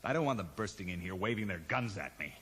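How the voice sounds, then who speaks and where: A cold, calculating scientist in a 1980s radio drama. His low voice is measured and smoothly persuasive as he justifies himself. The sound is reverberant with a faint noise behind it. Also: lively intonation, fairly bright tone. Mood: pleading, persuasive. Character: cornered, calculating male research scientist, cold and self-justifying.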